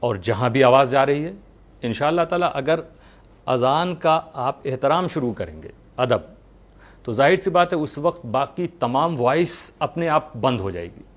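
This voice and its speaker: A man giving a religious sermon, speaking earnestly to his followers with rhetorical questions and deliberate pauses. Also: medium pitch, lively intonation.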